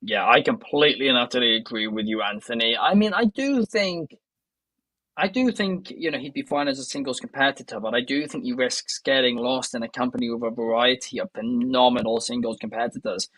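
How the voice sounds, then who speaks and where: A man's voice: mid-range voice, a little fast, a bit bright, dry recording. A young Welsh man hosting a casual wrestling news podcast, chatty and enthusiastic, turning to the viewers often. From Wales. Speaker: podcast host.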